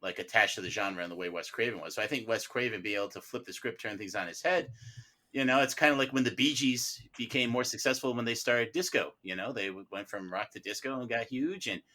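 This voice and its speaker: A man with a very bright, punchy voice argues about movies as a podcast panelist. He is animated and emphatic, often repeating phrases to drive them home. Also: mid-range voice, fast talker. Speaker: panelist on a mock-trial film podcast. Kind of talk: casual film debate.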